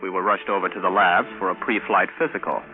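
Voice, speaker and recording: A man's voice: deep voice, fairly even intonation, fast talker, music in the background, close to an everyday voice. A man playing an eager, easily flustered young rocket pilot captain in a 1950s radio drama, wry and hesitant. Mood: wry narrating. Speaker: narrator and lead actor. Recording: noisy, tinny vintage radio broadcast.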